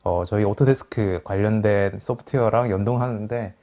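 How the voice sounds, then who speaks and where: A man co-presenting a software webinar, adding explanations and advice in a polite, conversational way. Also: fairly low pitch, steady tone, normal speed. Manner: polite, advisory. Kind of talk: informal explanatory commentary.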